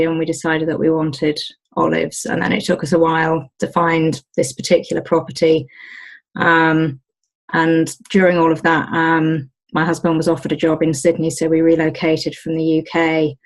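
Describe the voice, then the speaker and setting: A British woman talking casually in an interview as the owner of an olive grove, pausing often with ums and restarts. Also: low voice. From United Kingdom. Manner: hesitant, many ums and restarts.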